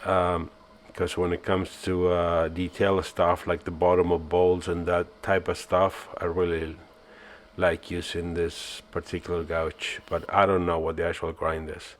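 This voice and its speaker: A man from Argentina, narrating his woodturning work in a relaxed, self-mocking voice-over with many fillers. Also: deep voice, unhurried, a little hiss or hum. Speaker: woodturning channel host.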